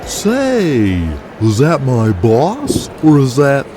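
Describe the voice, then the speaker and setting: An actor playing an eager, chummy backstage wrestling sidekick who talks in an exaggerated drawl in an audio drama. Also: male voice, medium pitch, huge pitch swings, very slow, over music, exaggerated voice. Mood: gleeful flattery.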